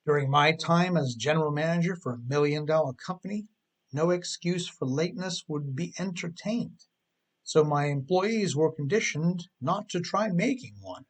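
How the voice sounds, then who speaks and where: A man's voice: on the high side, expressive, normal speed. A man narrating his own scripted text like an audiobook, with an emphatic storytelling delivery full of rhetorical questions. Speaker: author reading his own writing.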